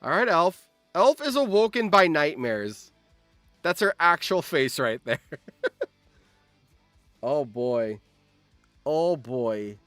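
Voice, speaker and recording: Animated man streaming casual live commentary. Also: on the high side, takes it slowly, pauses often, no room sound, faint music behind. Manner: animated, exclamatory, repeats phrases. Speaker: streamer hosting a reaction game. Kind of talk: casual live-stream commentary, reading game events aloud.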